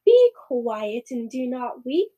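Talking storybook frog, played by a woman: high-pitched, mellow, insistent, slightly creepy, old-fashioned bargainer. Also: very animated, takes it slowly, muffled recording, heavily acted. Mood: calm, bargaining. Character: odd, bargaining talking frog from a fairy tale. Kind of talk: storybook read-aloud with old-fashioned dialogue.

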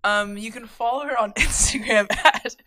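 A woman's voice: fairly high pitch, moderate pace, bright, forceful tone, dry recording. An upbeat young woman co-hosts a casual faith podcast. Her voice sits close and dry, with no room around it. She talks in a chatty, easygoing way and sometimes trips over a name. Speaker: podcast co-host. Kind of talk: casual chat and spoken prayer.

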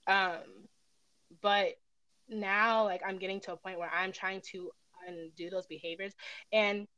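A woman's voice: medium pitch, fairly even intonation, measured pace, sharp tone, dry recording, dull audio. Candid woman chatting, close dry sound. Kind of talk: casual personal conversation.

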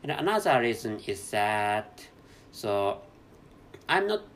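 A man with a Japanese accent hosting a solo podcast from a hotel room, speaking English slowly and carefully, with constant restarts and self-corrections. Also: lowish voice, big pitch swings, fairly bright tone, a little hiss or hum. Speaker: podcast host. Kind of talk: unscripted solo podcast monologue.

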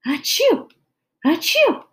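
A woman's voice: a little high, expressive, moderate pace. A woman voicing a long-suffering, exasperated mother in a story, chiding in a sighing manner. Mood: alarmed, pained.

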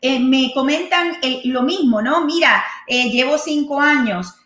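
A woman's voice: high voice, measured pace, fairly bright tone, echoey room. A Puerto Rican woman hosting a live show as a real estate consultant, chatty, mixing in English and often checking in with "¿ok?". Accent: Puerto Rican Spanish. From Puerto Rico. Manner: chatty, switches into English, keeps asking "¿ok?". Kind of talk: live-streamed call-in style talk.

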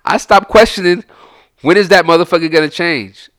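A man's voice: high-pitched, normal speed, a bit bright, no echo, clean studio sound. A man from Pasadena co-hosting a podcast, talking bluntly and profanely about recovery and life lessons. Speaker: podcast co-host. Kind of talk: casual podcast chat.

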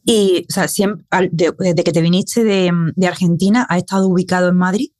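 A woman's voice: lowish voice, steady tone, moderate pace, a little mellow, no room sound. Chatty woman host, dry close sound. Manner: chatty, enthusiastic questioning. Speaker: podcast host. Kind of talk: casual interview talk.

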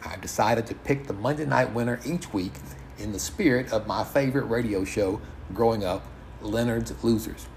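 Low-pitched man, some background noise. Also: moderate pace, a little mellow. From United States. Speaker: podcast host. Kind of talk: informal solo podcast monologue.

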